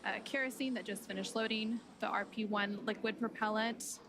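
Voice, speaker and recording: A woman delivers live explanatory commentary in a measured, informative way. Her mid-pitched voice stays very even, with little rise or fall, and music plays clearly behind her. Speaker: launch broadcast commentator.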